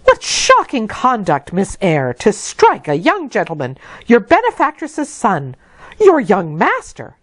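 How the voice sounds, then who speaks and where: A woman's voice: medium pitch, huge pitch swings, measured pace, no echo, voice pushed up. A woman voicing a stern, sanctimonious lady's maid in a storybook, scolding in a prim manner. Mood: scandalised.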